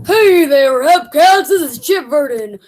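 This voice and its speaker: A woman's voice: sits high, lively intonation, a little slow, darkish tone. A woman playing a slick, showy hepcat bandleader in a 1940s-style radio broadcast, with a pointed, aggrieved edge.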